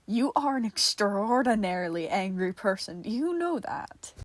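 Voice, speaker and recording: A woman's voice: medium pitch, very animated, normal speed, no echo. A woman voicing a tough, hot-tempered man in a story, firm and menacing. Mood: amused. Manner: firm, growling.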